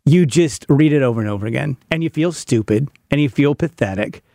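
A man's voice: mid-range voice, brisk, vocal fry, mellow, no echo, studio quality. A man in his fifties telling personal stories conversationally, in a self-deprecating and emphatic way. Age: 50s. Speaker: podcast guest, parent of a teenager. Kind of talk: candid conversational storytelling.

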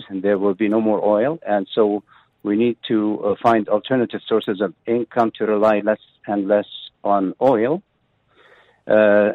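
A man's voice: on the low side, dry recording. A man speaking as a guest in a radio interview, hesitant, full of "uh" and restarts. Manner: hesitant, many fillers and restarts. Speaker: pavilion representative guest. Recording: tinny phone line, dry.

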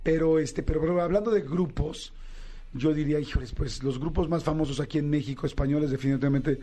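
A man's voice: fairly high pitch, steady tone, quick pace, warm tone. A man from Mexico with a Mexican accent, hosting a radio interview, chatty and curious, with many fillers. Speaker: radio host. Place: radio studio.

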